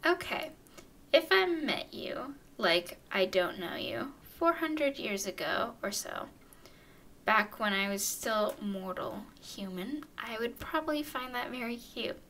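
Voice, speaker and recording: Girl doing a haughty teasing vampire lady, asmr roleplay, kinda high voice, takes her time, breathy exasperated asides. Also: expressive, takes it slowly, fairly bright tone, a little hiss or hum, heavily acted. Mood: teasing, wistful. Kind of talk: ASMR roleplay, acted monologue to listener.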